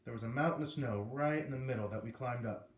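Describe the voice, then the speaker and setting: A man reading a children's novel aloud in an expressive storytelling way. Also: medium pitch, fast. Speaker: read-aloud reader. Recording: echoey room.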